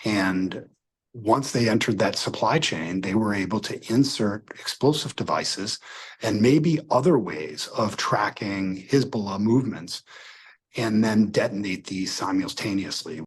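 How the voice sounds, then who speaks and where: A man's voice: lowish voice, expressive, measured pace, breathy edge. A man with an American accent giving unscripted interview commentary as a security expert.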